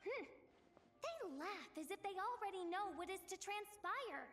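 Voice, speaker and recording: A grandiose young girl from a video game, with a very high, bright voice. She speaks in pompous, old-fashioned flourishes like a self-styled dramatic heroine. Faint music plays behind her. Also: expressive, unhurried, exaggerated voice. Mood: puzzled, wary. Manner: pompous, archaic dramatic phrasing. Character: theatrical, grandiose young girl playing dramatic princess villain. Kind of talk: video game character acting.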